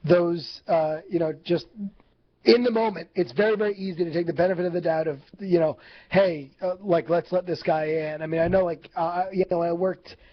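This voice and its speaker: A man's voice: a little high, steady tone, fairly quick. A man telling a story in casual chat as co-host, rambling with lots of "like" and "you know". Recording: lo-fi live stream audio.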